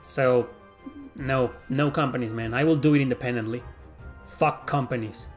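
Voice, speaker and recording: A middle-aged man with a Mexican accent chats through viewer questions at a mid pitch. He talks bluntly, swears freely and rambles with lots of fillers. Faint music plays underneath. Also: fairly even intonation, moderate pace. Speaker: YouTube host answering viewer questions. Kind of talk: casual Q&A chat.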